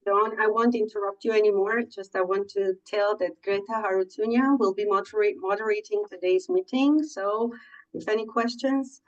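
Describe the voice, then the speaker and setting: A woman's voice: mid-range voice, steady tone, normal speed, far from the mic, lo-fi sound. A woman hosting an online event and introducing the guest speaker, with frequent hesitations. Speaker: event host.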